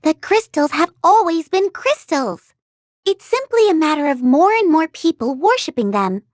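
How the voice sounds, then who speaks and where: A tiny, bossy fairy guide from a video game. The voice is extremely high and lively, jumping around in pitch. It is chirpy and instructive, in a dry studio sound. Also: female voice, moderate pace, dry recording. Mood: matter-of-fact.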